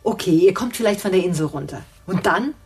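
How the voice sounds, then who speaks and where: Husky, sharp-tongued educated woman. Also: lowish voice, lively intonation, brisk, full character voice. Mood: sarcastic. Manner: sarcastic, condescending, articulate. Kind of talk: naturalistic radio drama acting.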